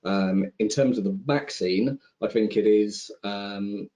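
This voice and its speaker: A man's voice: fairly low pitch, takes it slowly, mellow. A man speaking as an investment panelist on a conference call, thinking aloud in a conversational, informal way. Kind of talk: conversational roundtable commentary.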